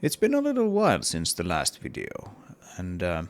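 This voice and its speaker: Deep gravelly man with a cold. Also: wildly swooping pitch, normal speed. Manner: hesitant, many fillers and restarts. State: has a cold, voice partly lost. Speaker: indie game developer. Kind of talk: casual devlog walkthrough.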